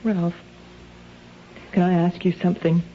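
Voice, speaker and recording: A woman's voice: on the low side, little pitch movement, unhurried, lightly acted. Female actor, troubled wife, earnest, uneasy, defensive, old radio drama, faint noise, muffled sound. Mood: anxious, guilty. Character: anxious, guilt-ridden wife with a secret compulsion. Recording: dull, faintly noisy old radio recording.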